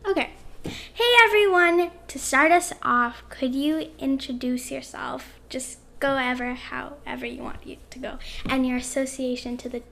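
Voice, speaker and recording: Kobieta prowadząca podcast mówi wysokim głosem z lekką chrypką i chrapliwym pogłosem na końcach zdań. Brzmi żywo i serdecznie, gdy wita słuchaczy i zadaje pytania. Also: female voice, sits high, measured pace, gravelly, a bit bright. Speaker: podcast host. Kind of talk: podcast interview.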